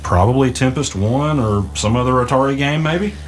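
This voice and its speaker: A man's voice: mid-range voice, normal speed, faint music behind, lightly acted. An actor playing a cautious, skeptical small-town man who speaks in a plain, hesitant, questioning way in naturalistic audio drama dialogue. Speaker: character in a mystery audio drama.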